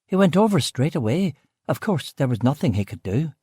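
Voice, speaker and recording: A woman's voice: low-pitched, big pitch swings, brisk, dark tone, husky, no room sound, clean studio sound, heavily acted. A man voicing a curt West Belfast cook. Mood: wary. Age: middle-aged. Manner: curt, clipped local speech. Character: annoyed middle-aged woman cook, wary and blunt.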